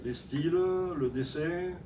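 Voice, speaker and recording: Older French craftsman on stage, modest and halting speech, echoey hall, voice off-mic, with noise behind him. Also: a little high, recorded from a distance. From France. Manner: plain and modest, halting, searching for words. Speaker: award-winning craftsman and company head. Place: large event hall.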